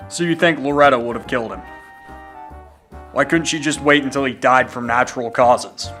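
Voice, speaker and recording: A man's voice: medium pitch, moderate pace, sharp tone, lightly acted. A man playing a blunt, suspicious police detective in a noir radio drama. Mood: stern, irritated. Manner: hard-boiled, interrogating. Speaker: student voice actor. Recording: amateur radio drama with music underneath. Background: music.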